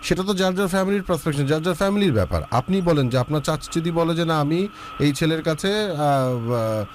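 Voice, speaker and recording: Blunt, fast-talking male advice host. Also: on the high side, lively intonation, a little hiss or hum. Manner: blunt, impatient questioning. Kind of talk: counseling a caller.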